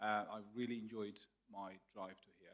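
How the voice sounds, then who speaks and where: A man's voice: fairly low pitch, little pitch movement, moderate pace, fairly bright tone. A man from Afghanistan speaking to a theatre audience as a candidate, earnest and personal, with some self-deprecating humour. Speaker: parliamentary candidate. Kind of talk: candidate's opening statement. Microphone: handheld microphone.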